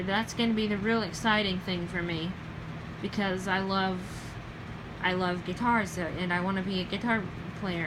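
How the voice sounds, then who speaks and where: A young woman with a Southern US accent, chatting casually in a late-night vlog, rambling with many ums and self-corrections and trailing off softly. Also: medium pitch, noisy background. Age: young adult. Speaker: vlogger.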